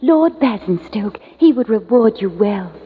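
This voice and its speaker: An actress playing a gentle, earnest captive noblewoman with formal, solemn speech in a 1950s radio drama. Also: mid-range voice, animated, unhurried, phone-quality sound, slight background noise, natural voice. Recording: thin band-limited 1950s radio recording.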